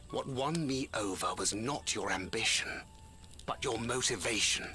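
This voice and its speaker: An actor playing a supportive young adventurer in a video game, sincere, plain-spoken and encouraging. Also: male voice, mid-range voice, moderate pace, pushed and bright, close to an everyday voice. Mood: warmly persuasive. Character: candid, supportive young male adventurer, steady mentor. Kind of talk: video game cutscene acting. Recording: background music under dialogue.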